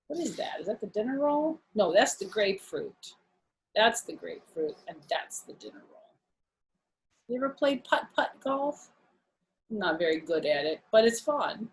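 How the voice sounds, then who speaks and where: A woman's voice: mid-range voice, very animated, moderate pace, long pauses, a little hiss or hum, low-quality recording. An older woman reading to children at storytime, speaking in casual, chatty asides to her listeners. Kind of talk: casual, chatty asides during a read-aloud.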